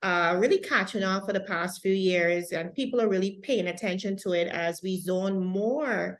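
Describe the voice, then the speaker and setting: A woman's voice: mid-range voice, moderate pace. A woman hosting an interview, speaking with effusive praise and rambling restarts. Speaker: host.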